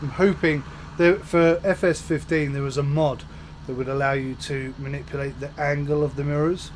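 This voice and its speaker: A man's voice: a little high, moderate pace. A man hosting a farming game let's-play, chatting to viewers as he plays, his voice a little off from a coming flu. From United Kingdom. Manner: relaxed, chatty, talks to viewers while playing. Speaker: gaming channel host. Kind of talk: casual let's-play commentary. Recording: faint game engine noise behind.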